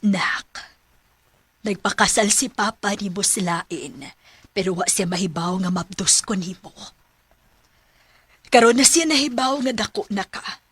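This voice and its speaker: A middle-aged mother in a radio drama, gentle and forgiving. Her voice is husky and of medium pitch, recorded dry, with a Cebuano Filipino accent. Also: a little slow, pauses often, no room sound. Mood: gentle, explaining.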